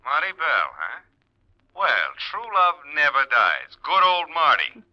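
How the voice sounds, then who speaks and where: An actor playing a suave, cynical playboy husband in an old-time radio drama, glib and dryly sarcastic. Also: male voice, on the high side, wildly swooping pitch, unhurried. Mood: needling. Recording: dull, lo-fi old radio recording.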